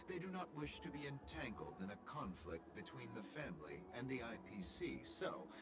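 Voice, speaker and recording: Guy acting annoyed formal gentleman guest, very animated pitch, game dialogue w loud music. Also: natural voice. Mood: stern, warning. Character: demanding, displeased male guest, formal and wary. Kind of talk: scripted video game voice acting.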